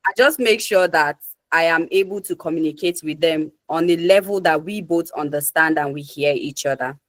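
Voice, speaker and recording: A Nigerian woman answering questions as a guest on a career webinar, speaking slowly and deliberately. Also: low-pitched, no echo. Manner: slow, deliberate, articulate speech. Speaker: guest associate.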